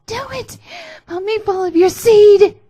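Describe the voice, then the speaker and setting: A lovestruck cartoon angel, acted out by a woman in a melodramatic story reading. The voice leaps very high and gets loud, with breathy, stammering delivery. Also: measured pace. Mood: urgent. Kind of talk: melodramatic fan fiction reading.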